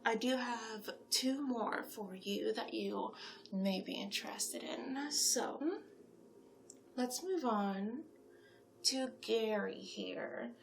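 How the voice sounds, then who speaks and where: Creaky-voiced gushing matchmaker, played by a woman. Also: fairly high pitch, takes it slowly, slight background noise. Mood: chatty, encouraging. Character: chatty, encouraging female monster matchmaker pitching candidates to a client. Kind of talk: horror roleplay ASMR, one-sided conversation.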